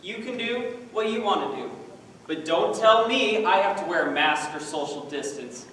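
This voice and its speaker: Guy acting angry stubborn man, loud, kinda high voice, stage play, far from mic. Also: expressive, normal speed. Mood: angry, defiant. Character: defiant, angry anti-mask citizen, loud and stubborn. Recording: echoey hall from far off, faint noise.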